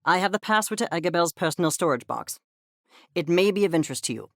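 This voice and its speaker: Haughty, swooping creature scientist. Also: female voice, on the low side, expressive, quick pace, no echo, studio quality. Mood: aloof. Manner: precise, haughty, clipped academic diction. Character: pompous, obsessive fuzzy creature scientist, coldly curious and disdainful. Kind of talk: video game character voice lines.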